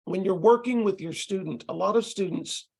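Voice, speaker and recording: A middle-aged man with a Texas accent, giving a relaxed, conversational lecture over a video call with frequent ums and restarts. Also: high voice, moderate pace, gravelly, slightly rough. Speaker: ESL instructor presenting to tutors.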